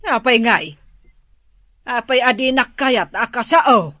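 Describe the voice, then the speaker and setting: A woman playing a fretful, nagging mother who longs for a grandchild, husky and insistent, in an Ilocano radio drama. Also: fairly high pitch, expressive, a little slow. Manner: insistent, worrying.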